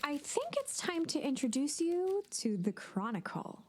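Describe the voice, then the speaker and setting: A woman playing a calm, knowing storybook narrator in a game, speaking in a measured, theatrical way. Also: high voice. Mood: knowing, guiding.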